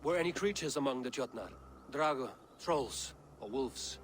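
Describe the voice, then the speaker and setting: A man's voice: medium pitch, expressive, normal speed, slight background noise, natural voice. Havi, brooding king of the gods, played by a man. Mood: commanding. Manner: commanding, brooding. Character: Havi, proud aging male king of the gods. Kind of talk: video game cutscene acting.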